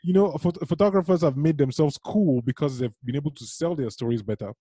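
A man's voice: on the high side, a little fast, dark tone, breathy edge, no echo. A young adult man from Cameroon, a writer musing thoughtfully as an interview guest, often restarting his sentences. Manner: thoughtful, rambling, many restarts. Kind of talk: reflective conversation.

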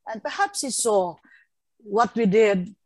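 A woman in her sixties with a Filipino accent, recalling her long career in measured, hesitant speech. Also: medium pitch, expressive, no echo, low-quality recording. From Philippines, Mindanao. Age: 60s. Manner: measured, hesitant with many fillers. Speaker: retired education official, guest. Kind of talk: reflective interview answers.

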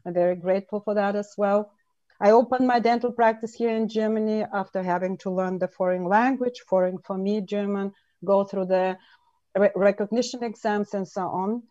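A Bulgarian-born woman in her fifties, an interview guest speaking in a second language and telling her life story in an earnest, measured way. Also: mid-range voice, fairly even intonation, mellow, dry recording, lo-fi sound. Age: 50s.

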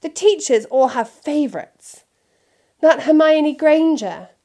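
A woman's voice: high voice, expressive, slow pace, mellow, pitched above the performer's own voice. Woman voicing a petulant spoiled boy, whiny. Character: spoiled sulky schoolboy.

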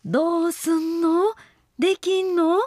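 A woman's voice: extremely high pitch, little pitch movement, slow pace, darkish tone, no room sound, clean studio sound. An actress with a Kansai accent playing a frightened, exasperated young woman fleeing zombies in a comedic radio drama, incredulous and pleading.

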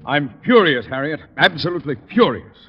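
An actor playing an ill-tempered, pompous middle-aged school principal in a radio sitcom. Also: male voice, a little high, lively intonation, fairly quick, music in the background. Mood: exasperated, furious. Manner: pompous, exasperated, imperious. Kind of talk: 1940s-50s radio sitcom acting. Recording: muffled, noisy vintage radio recording, echoey hall.

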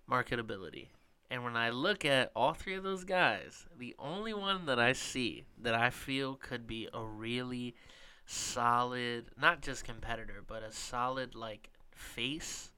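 A man's voice: medium pitch, smooth. A man co-hosting a sports podcast, talking casually with lots of fillers and trailing off at the ends of thoughts. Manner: rambling, filler-heavy, trails off quietly. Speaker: podcast co-host.

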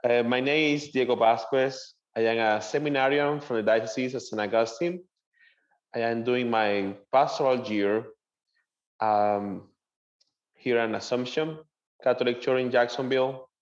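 Young venezuelan guy, spanish accent, earnest careful english, kinda muffled audio. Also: medium pitch. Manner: earnest, halting non-native phrasing. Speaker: seminarian guest. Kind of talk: interview answers.

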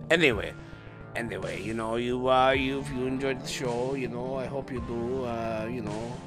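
A man's voice: mid-range voice, moderate pace, loud music behind the voice. A man co-hosting a podcast, rambling casually through the sign-off with lots of fillers and restarts. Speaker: podcast co-host.

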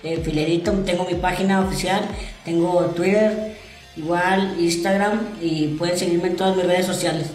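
A man's voice: high-pitched, fairly even intonation, moderate pace, darkish tone, faint music behind. A man from Saltillo, answering interview questions in an easygoing, slightly hesitant way. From Saltillo, Mexico. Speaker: interview guest, mini wrestler. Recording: echoey room.

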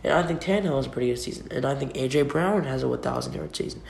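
Gravelly young man with a cold. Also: medium pitch, racing, darkish tone. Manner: rambling, restarts often, informal. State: has a cold. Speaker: sports podcast host. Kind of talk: casual solo sports predictions. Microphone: computer mic. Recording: echoey room with faint noise.

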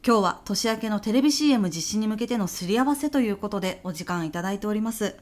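A woman playing an earnest, polite businesswoman pitching to a client in a comedy audio drama, speaking deferentially. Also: animated, quick pace, darkish tone, natural voice. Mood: polite, accommodating.

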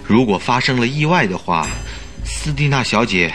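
An earnest, slightly anxious sidekick to a detective played by a man in a radio drama. His mid-pitched voice is bright and clear-cut, with very lively, questioning intonation. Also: moderate pace, faint music behind, lightly acted. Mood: concerned, questioning.

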